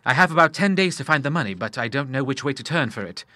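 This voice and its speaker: A man's voice: medium pitch, animated, fast, pushed and bright, no room sound. A man voicing an earnest young businessman in money trouble who speaks formally and with feeling.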